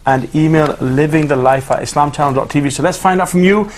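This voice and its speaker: A man's voice: a little high, fast, a little hiss or hum. A man presenting a studio show, reading out contact details and welcoming a guest in an upbeat way.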